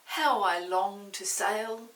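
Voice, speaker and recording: A woman's voice: mid-range voice, slow, a bit bright. A woman voicing a tiny wistful snail who longs for adventure in a storybook, with emphatic, eager delivery. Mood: wistful longing. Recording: roomy, distant mic, faint hiss.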